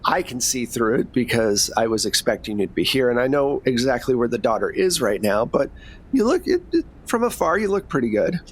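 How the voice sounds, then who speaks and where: A man's voice: mid-range voice, very animated, brisk, husky. A man voicing a cool, teasing female federal agent in a tabletop game, casual and needling. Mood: dry, teasing.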